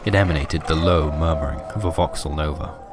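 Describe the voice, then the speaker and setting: A man's voice: rumbling low, steady tone, fairly quick, loud music behind the voice. A man narrating a scripted horror story for a fiction podcast.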